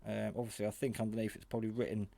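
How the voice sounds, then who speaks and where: A man with a lowish voice talks through a casual product demonstration. He is matter-of-fact and a little hesitant, rambling from one point to the next like a hobbyist reviewer showing how something works. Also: little pitch movement, very fast, creaky, dark tone, no room sound. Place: garage.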